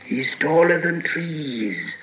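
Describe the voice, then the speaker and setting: A slimy cave creature hisses and whispers, sly and wheedling as it talks to itself. The sound is dull and lo-fi. Its voice slides around wildly in pitch. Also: male voice, lively intonation, slow pace. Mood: hissing, wheedling. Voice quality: hissing whisper. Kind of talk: storybook reading. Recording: dull lo-fi recording.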